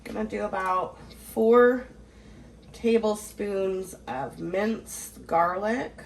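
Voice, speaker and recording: A woman hosting a home canning tutorial, talking in a relaxed, chatty way, a little congested from a cold. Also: medium pitch, drawn-out words, vocal fry, echoey room, slight background noise, poor audio. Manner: relaxed, chatty, laughs easily. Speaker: home canning channel host. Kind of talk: casual cooking tutorial.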